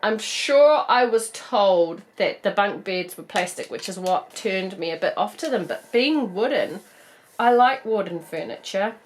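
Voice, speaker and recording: A woman in her thirties with a New Zealand accent, croaky from a cold, chatting bubbly through a casual doll unboxing review. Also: medium pitch, animated, measured pace. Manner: chatty, bubbly, laughs often. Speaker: doll collector vlogger.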